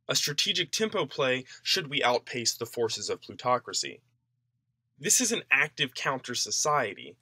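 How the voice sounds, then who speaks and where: A man's voice: mid-range voice, sharp tone, no room sound, clean studio sound. A man reading a written essay aloud in a formal, declarative way, as scripted narration. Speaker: reader of an essay.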